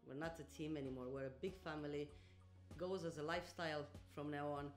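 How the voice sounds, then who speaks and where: A woman's voice: low voice, steady tone, brisk, echoey room, faint music behind. Upbeat young woman. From Romania. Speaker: team executive. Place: office, seated indoors.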